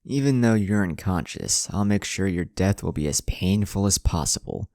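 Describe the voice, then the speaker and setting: Menacing male villain for an anime-style story, done by a man narrating, deranged and ranting, low gravelly voice. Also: animated, darkish tone, no room sound, clean studio sound. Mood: menacing contempt. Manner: ranting, drawn-out threats.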